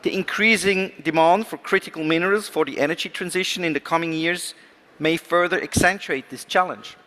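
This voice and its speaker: A man delivering a prepared, formal conference speech as a government official, in a measured, rhetorical way with stressed key words. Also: on the high side, bright, forceful tone. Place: conference event, speaking to an audience.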